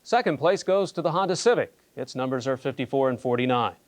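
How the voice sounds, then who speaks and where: A man anchoring a local evening newscast with a smooth, polished delivery. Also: mid-range voice, lively intonation, fairly quick, dry recording. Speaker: news anchor. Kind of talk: news anchoring.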